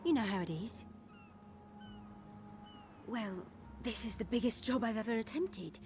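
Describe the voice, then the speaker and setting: Animated, high-pitched young thief. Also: female voice, unhurried, music in the background. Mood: focused, eager. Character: eager, nervy young female thief planning a heist. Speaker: game character, thief companion. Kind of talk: video game voice acting.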